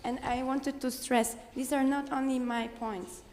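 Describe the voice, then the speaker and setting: A woman from the audience, speaking English as a philosopher at a conference, direct and insistent, often pausing with fillers. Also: high voice, flat delivery, a little mellow, big-room sound, a little hiss or hum. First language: Polish. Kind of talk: conference floor remarks. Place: conference hall.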